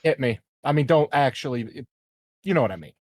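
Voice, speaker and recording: A man's voice: mid-range voice, lively intonation, a little fast, dry recording, studio quality. A man playing a tabletop roleplaying game, chatting casually with hesitations and some swearing.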